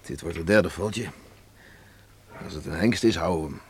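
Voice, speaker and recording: A man acting a hearty, plain-spoken older farmer father with a halting way of talking in a radio play. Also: sits low, a little mellow, close to an everyday voice. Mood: proud, companionable. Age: middle-aged or older. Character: hearty farmer father, troubled by regret, welcoming. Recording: noisy old radio play recording.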